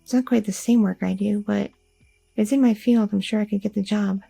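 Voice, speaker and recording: Woman acting an anxious, sweet goth girlfriend, softly creaky. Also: mid-range voice, fairly even intonation, brisk, soft background music. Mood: tender, hopeful. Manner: hesitant, stammering, self-interrupting, repeating words. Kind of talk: ASMR audio roleplay.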